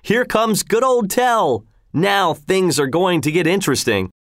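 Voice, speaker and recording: A man acts a mischievous village heckler from a storybook. He calls out mockingly, pitched well up and very animated. The sound is dry. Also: measured pace, no room sound. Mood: excited cheering. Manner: mocking shouts. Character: jeering, mischievous commoner heckler in a crowd. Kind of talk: storybook character voice.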